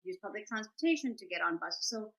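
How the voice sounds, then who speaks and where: A woman's voice: medium pitch, lively intonation, fairly quick, fairly bright tone, low-quality recording. A woman in her forties, a playwright talking in an interview, earnest and reflective, often restarting and searching for words. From grew up in Argentina and India. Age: 40s. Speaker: guest, playwright and survivor.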